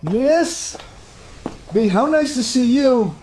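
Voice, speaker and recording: A man's voice: very high voice, lively intonation, takes it slowly, darkish tone, slight background noise, heavily acted, low-quality recording. An actor playing a lonely, sad old man in an audio drama, mournful and pleading in manner. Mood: sad, wistful. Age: older.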